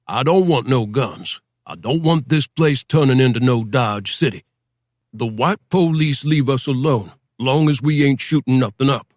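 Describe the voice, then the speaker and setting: Shrewd old boss, slow folksy drawl, sly, dry read, man voicing him. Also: medium pitch, expressive, darkish tone, dry recording, lightly acted. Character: shrewd older male boss, sly, feigning deference. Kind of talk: audiobook character voice.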